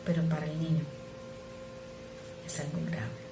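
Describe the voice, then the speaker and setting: A middle-aged woman who is not a native speaker, preaching an earnest, measured sermon that sometimes drops to soft asides. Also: low-pitched, fairly even intonation. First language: not Spanish. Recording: echoey room with noise.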